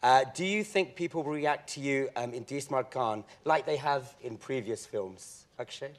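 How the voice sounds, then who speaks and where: A man's voice: mid-range voice, moderate pace. A man, hosting a casual radio interview on a theatre stage.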